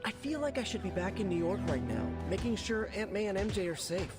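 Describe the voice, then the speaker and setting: A man's voice: high-pitched, lively intonation, fairly quick, loud music behind the voice. An actor playing a caring young male hero in a video game cutscene, talking earnestly about the people he loves. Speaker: game character.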